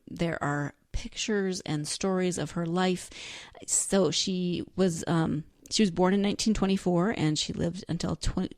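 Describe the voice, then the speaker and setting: A woman podcast host with a slightly low voice in a very dry-sounding space. She chats casually on her own, rambling and correcting herself as she thinks aloud. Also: darkish tone, dry recording. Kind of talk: casual solo book chat, loosely summarizing notes.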